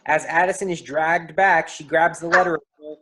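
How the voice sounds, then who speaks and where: Low voiced lady reading out stage directions for a play. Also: steady tone, a little fast, heavy background noise. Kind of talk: scripted narration of a play reading.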